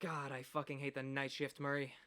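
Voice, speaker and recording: An actor playing a cocky, wisecracking late-night radio host in a radio play, with theatrical, sarcastic patter. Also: male voice, medium pitch, completely flat delivery, brisk, pushed and bright, lightly acted. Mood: wry, restless.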